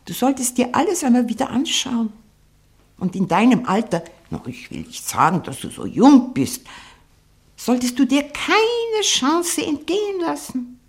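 A woman playing a sharp-tongued, haughty old aunt, frail but proud, in a 1960 German radio play. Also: on the high side, heavily acted. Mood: commanding, scornful. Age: older. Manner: haughty, mocking, deliberate.

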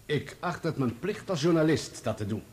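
A man's voice: on the high side, expressive, moderate pace, breathy edge. A man playing a firm, self-righteous journalist giving evidence in a radio courtroom drama, formal and assured. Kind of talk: radio play courtroom acting.

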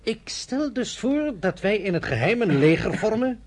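An actor playing a young, energetic, pragmatic leader, reasoning persuasively in a Dutch radio play. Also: male voice, sits high, moderate pace. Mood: reasoned, persuasive.

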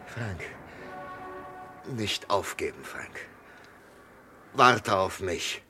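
An actor playing a desperate, compassionate doctor who pleads intensely in a 1960s German radio drama. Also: male voice, deep voice, expressive, very slow, music in the background, exaggerated voice. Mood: hushed pleading. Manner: imploring, intense, swinging from whispers to shouts. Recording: 1960s mono radio play.

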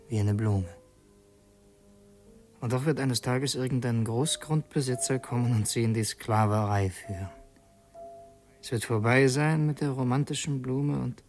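A man's voice: medium pitch, a little mellow, close to an everyday voice. An actor playing an earnest revolutionary who narrates his secret mission in a literary radio drama, introspective and brooding.

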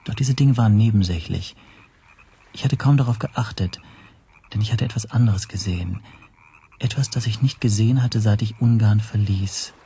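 A man's voice: lowish voice, normal speed, mellow, slightly rough. An actor playing a tense, self-doubting man who tells his own story as introspective first-person narration in a radio play. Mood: brooding.

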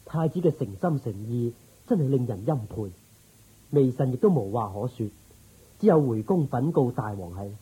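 A man's voice: mid-range voice, expressive, normal speed, mellow, slightly rough, poor audio. A man playing a loyal, reverent royal minister in a Cantonese radio drama, formal and deferential in his speech. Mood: respectful.

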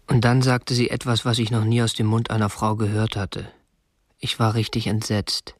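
A man's voice: lowish voice, level tone, moderate pace, no room sound, clean studio sound, natural voice. An actor playing a prim, unremarkable man in his twenties, a captor narrating matter-of-factly in a radio drama. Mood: stiff, matter-of-fact. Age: 20s. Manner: matter-of-fact, stiffly proper. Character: prim, unremarkable 27-year-old male captor, petty-bourgeois butterfly collector. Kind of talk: first-person narration and dialogue in a radio drama. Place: dry studio.

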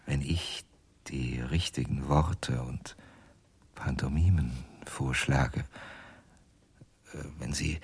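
An actor playing a tormented, helpless ventriloquist in a radio crime drama, speaking in a halting, confessional way. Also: male voice, deep voice, husky, heavily acted. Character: tormented male ventriloquist, helpless under his doll's power.

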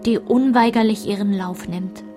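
A woman playing a narrator who recalls her ashamed girlhood, in a measured, matter-of-fact literary radio play style. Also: mid-range voice, over music, natural voice. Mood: sober recollection.

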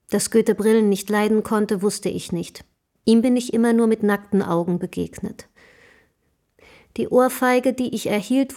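A young Romantic-era woman writer, ardent and defiant. She speaks in an effusive, self-dramatizing way with formal, old-fashioned wording, at a medium female pitch, as in a radio play. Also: mellow. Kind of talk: German radio drama acting.

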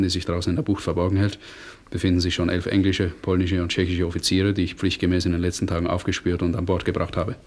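Sehr tiefer, knapper Marineoffizier. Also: male voice, sits low, a little mellow. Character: brisk, discreet British naval commander on secret rescue mission. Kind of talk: German radio play acting.

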